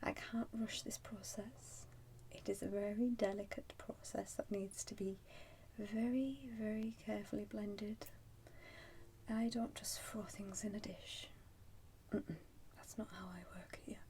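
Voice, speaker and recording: A woman performs an ASMR roleplay as a shopkeeper, whispering softly to her customer. Her delivery is very unhurried, with frequent pauses and a breathy texture. Clear background noise and small clicks sit behind the voice. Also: mid-range voice, steady tone. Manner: slow, pausing, unhurried. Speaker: ASMR performer playing a soup shop keeper.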